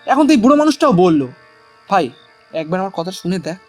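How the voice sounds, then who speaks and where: Man voicing an excitable, fast, high-pitched young friend. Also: faint music behind. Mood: agitated, pleading. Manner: excited, pleading, urgent. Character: agitated, insistent young friend, excitable believer. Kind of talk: Bengali audio story acting.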